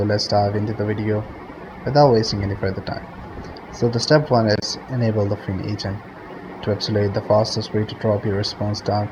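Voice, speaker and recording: Man narrating a tutorial over muffled, noisy audio. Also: lowish voice. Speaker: tutorial host. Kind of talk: step-by-step software tutorial narration. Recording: muffled lo-fi audio with clear background noise.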